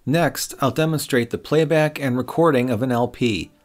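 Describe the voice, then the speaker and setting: A man's voice: mid-range voice, normal speed, faint music behind. A middle-aged man reads a scripted product review voice-over in a wry, opinionated way. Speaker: reviewer and host.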